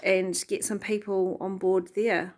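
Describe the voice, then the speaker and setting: A woman's voice: medium pitch, level tone, unhurried, a little mellow, a little hiss or hum. A woman with a New Zealand accent co-hosting a casual political chat, chatty and teasing, prompting her co-host with questions. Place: Christchurch.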